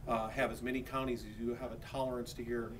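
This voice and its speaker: A man's voice: mid-range voice, little pitch movement, fast. A man with an American accent explains products informally and plainly, like a shop salesman. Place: electronics store. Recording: distant mic, faint background noise.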